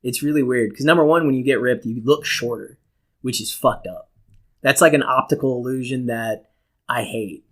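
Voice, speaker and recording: A man's voice: mid-range voice, moderate pace. A young American man talks in a loose, rambling way, like a podcast co-host spinning a story for a friend. He swears freely and keeps things comic. He slips into quoted dialogue as he tells it. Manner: rambling, acts out quoted dialogue, laughs at his own stories. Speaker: podcast co-host telling stories. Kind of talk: casual, profane comic storytelling.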